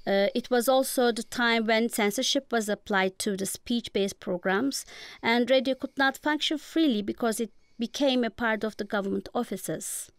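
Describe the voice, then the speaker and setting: A woman with a Turkish accent, a native Turkish speaker, giving formal, measured, lecture-like answers as a guest professor. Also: fairly high pitch, clean tone, no echo. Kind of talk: prepared lecture-like interview answers.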